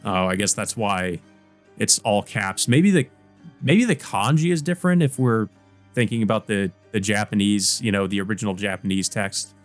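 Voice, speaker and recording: A man's voice: on the low side, normal speed. A man doing a let's play, reading visual novel narration aloud and adding casual commentary of his own. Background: game music.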